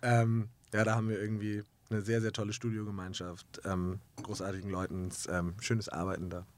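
A man who is a musician giving relaxed interview answers, rambling and filling his speech with hesitations. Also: on the low side, a little fast, a little hiss or hum. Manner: relaxed, many fillers like "irgendwie" and "ähm". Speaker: musician guest.

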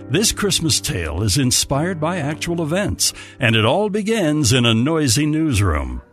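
Highly animated man telling a holiday story. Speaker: storyteller and radio-show narrator. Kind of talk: scripted holiday story narration in old-time radio manner.

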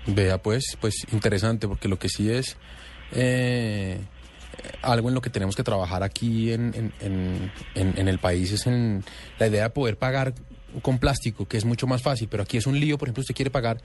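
A man's voice: on the low side, fairly even intonation, normal speed, a little mellow, a little hiss or hum. A man hosting a talk radio show, chatty and hesitant, often repeating words.

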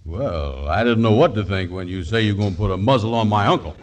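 A man acts a slow-witted but eager schemer in a 1940s radio comedy. The voice is on the low side, with hesitant, stammering folksy speech, on a slightly noisy vintage broadcast recording. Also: expressive, lightly acted. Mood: bumbling, cagey.

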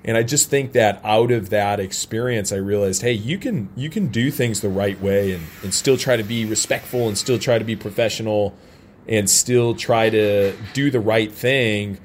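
A man's voice: fairly low pitch, normal speed, a little hiss or hum. An American man from St. Louis, a sports announcer, talking candidly and casually as an interview guest. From St. Louis, United States. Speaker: interview guest, soccer radio play-by-play announcer. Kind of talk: casual interview, personal anecdotes. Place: radio booth at a stadium. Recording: clearly audible background ambience.

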